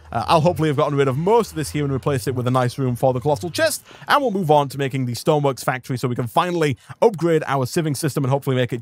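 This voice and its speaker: A man commentating his own live gameplay stream in an upbeat, rambling way, thanking viewers, his voice a little hoarse. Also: fairly high pitch, no echo. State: slightly hoarse.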